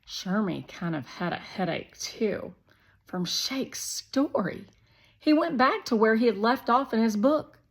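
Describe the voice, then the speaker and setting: A woman narrating a children's story aloud in an expressive, scripted read-aloud style. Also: a little high, a little slow.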